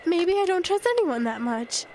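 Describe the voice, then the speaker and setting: An actress playing a frightened, doubting, defiant fiancée in an audio drama, with emphatic phrasing. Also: female voice, very high-pitched, sing-song, moderate pace, a little mellow, a little hiss or hum. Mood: defensive. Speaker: actor. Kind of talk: audio drama acting with inner-monologue narration.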